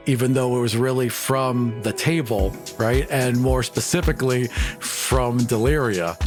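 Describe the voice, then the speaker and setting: A man in his forties casually questioning players as game master of a tabletop role-playing game. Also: mid-range voice. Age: 40s.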